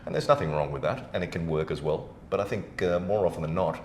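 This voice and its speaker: A middle-aged man with a deep voice hosts a casual podcast conversation. The room is noticeably echoey, with a faint low rumble behind him. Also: fast. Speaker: podcast host.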